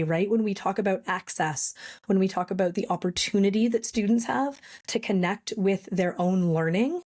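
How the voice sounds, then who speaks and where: A woman speaking as a guest on an education podcast. Her voice is slightly raspy, and she talks in a friendly, chatty way with frequent fillers. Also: medium pitch, a little mellow. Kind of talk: podcast interview.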